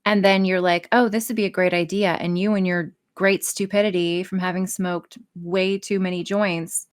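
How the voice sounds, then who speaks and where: A woman audio role-play creator talking casually on a podcast, swearing freely and poking fun at herself. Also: mid-range voice. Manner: frequent swearing, self-deprecating.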